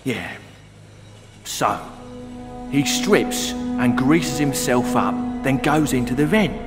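A man's voice: mid-range voice, expressive, slow. A man playing a gossipy, streetwise prison inmate in a video game, telling grisly stories and testy about interruptions. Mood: testy, relishing. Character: gossipy, irritable male prison inmate spinning grisly tales. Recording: long echoing reverb, faint music.

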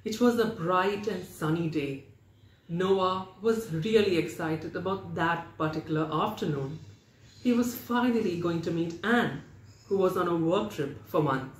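A young man with an Indian English accent reads a scripted story as narrator. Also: high voice. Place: indoor room with bare wall. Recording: roomy echo with background noise.

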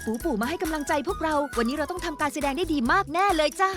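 A sweet cartoon kindergarten teacher, high-voiced and brisk. She coaxes and encourages, reassuring her pupils over loud children's music. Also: expressive. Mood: cheerful. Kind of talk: dubbed children's cartoon acting.

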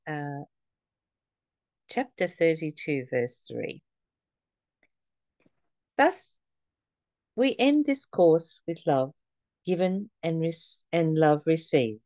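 A woman's voice: low voice, a little mellow, no echo. A woman reading aloud from a spiritual book and adding informal comments, reverent and emphatic, lingering over phrases. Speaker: spiritual study reader.

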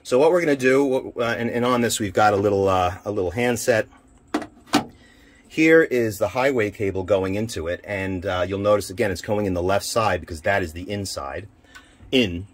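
A man giving an informal hands-on equipment demonstration, haltingly thinking aloud as he handles the gear. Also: fairly low pitch. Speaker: radio hobbyist demonstrating equipment. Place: inside a military-style off-road vehicle. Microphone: handheld camera, one hand free. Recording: noisy handheld camera audio.

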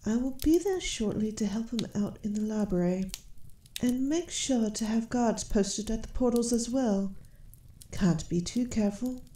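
A woman performs a shrewd, motherly elf queen for a fantasy roleplay. Her voice sits fairly high with a soft, mellow tone. She speaks regally and very slowly, with roomy echo around her. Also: a little hiss or hum, lightly acted. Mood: gentle concern. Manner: regal, measured, softly spoken. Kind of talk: fantasy audio roleplay, ASMR.

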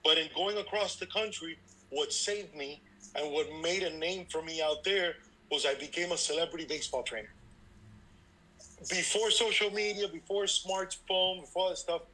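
Man, thirties, interview guest, frank and self-deprecating, lively, high bright tone. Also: normal speed, low-quality recording. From Cuba, raised in Miami. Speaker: baseball instructor guest. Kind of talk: candid life story in interview.

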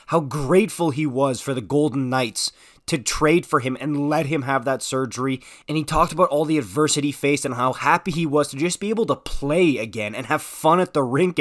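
A man with a slightly high voice narrates a sports opinion video. He talks conversationally and leans on his words for emphasis, with casual slang throughout. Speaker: sports commentary video host. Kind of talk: informal opinionated sports commentary, voice-over.

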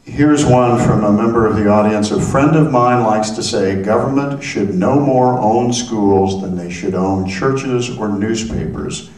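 A man moderating a panel, briskly reading out questions on a theater stage. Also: fairly low pitch, darkish tone. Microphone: handheld mic. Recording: roomy, distant, faint noise.

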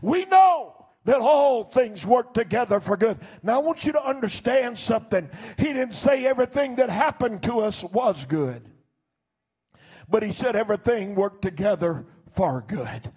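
Fervent older pastor with a high voice. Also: male voice, lively intonation, normal speed, husky. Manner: fervent preaching, rising to shouts then dropping low. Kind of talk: sermon. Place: church stage. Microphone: handheld mic.

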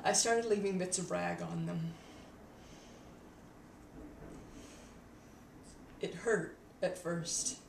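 A woman playing a haunted, embittered young wizard turned killer in a dramatic monologue, intense and halting, swinging between hush and outcry. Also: on the low side, vocal fry. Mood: pained, strained. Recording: echoey room, distant mic, faint noise.

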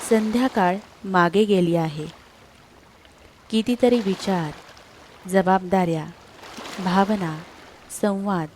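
Slow, soothing woman guiding sleep meditation. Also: medium pitch, darkish tone, slight background noise. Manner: soothing, unhurried, with long pauses between short phrases. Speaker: guided meditation narrator. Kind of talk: scripted guided sleep meditation.

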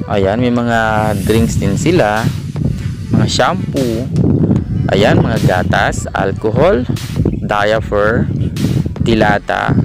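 A man with a Filipino accent hosting a screen-recorded app tutorial, talking in a relaxed way and leaning on fillers like "ayan" and "okay". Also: lowish voice. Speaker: tutorial host. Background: background music.

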